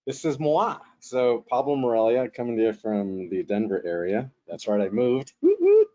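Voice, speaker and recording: A man's voice: medium pitch, huge pitch swings, normal speed, dark tone. Joking man hosting a webinar over a call. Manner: joking, rambling with frequent fillers. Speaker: webinar host and moderator. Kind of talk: casual, chatty webinar hosting. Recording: slightly muffled webinar audio.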